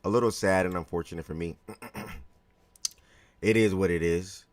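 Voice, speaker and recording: A man hosting a live sports talk stream, chatty and relaxed, greeting viewers by name, his voice a little hoarse. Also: low voice, normal speed, no echo. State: slightly hoarse from yelling the day before. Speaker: live show host.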